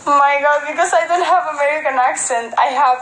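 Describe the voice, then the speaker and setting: Spanish-accented woman, 20s, reads out fan comments on a phone stream, slightly high voice, echoey room. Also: fairly bright tone. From Spain. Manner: switches between Spanish and halting English, answers viewers' comments. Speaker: model on a livestream. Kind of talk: casual livestream chat reading comments. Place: indoor room at home. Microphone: phone held at arm's length.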